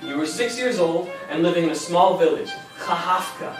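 A fond young grandson played by a man remembers his grandfather on stage, patient and wry. His voice is high for a man. It comes from far off in a very reverberant small theater with faint noise. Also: animated, normal speed, soft background music. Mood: reflective, wistful. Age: young adult. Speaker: stage actor and narrator. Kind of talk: memory play monologue and dialogue. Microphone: far audience camera. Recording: very echoey theater, distant camera, faint noise.

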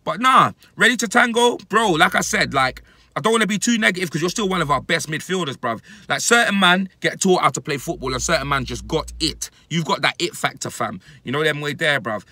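A man in his thirties with a London accent, giving an animated, emphatic fan rant to camera in heavy, repetitive street slang. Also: a little high, a little fast, no room sound. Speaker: football fan reacting to his club. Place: inside a parked car. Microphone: phone at arm's length.

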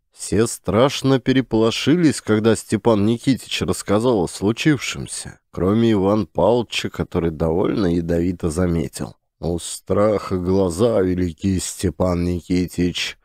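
Deep-voiced male audiobook narrator. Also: no echo, clean studio sound. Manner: earnest, warm direct appeal to listeners. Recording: faint background music.

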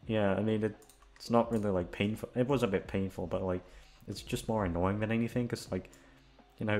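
A man talks casually to his audience as an audio creator. His voice sits fairly low and is full of creaky fry. He rambles, swears freely and puts himself down. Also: darkish tone. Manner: self-deprecating, rambling, sweary. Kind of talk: casual rambling chat to listeners.

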